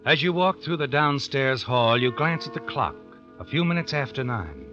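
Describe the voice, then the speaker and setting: An actor playing an all-knowing, sinister narrator in an old radio suspense drama, ominous and insinuating. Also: male voice, mid-range voice, very animated, normal speed, pushed and bright, faint music behind. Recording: muffled vintage radio recording.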